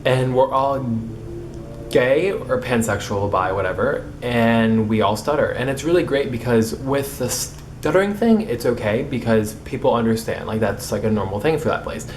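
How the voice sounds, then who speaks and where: A man's voice: mid-range voice, animated, normal speed, slight background noise. A young man who stutters, with repeated starts and blocks, chatting casually to camera in a vlog. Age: young adult. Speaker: vlogger.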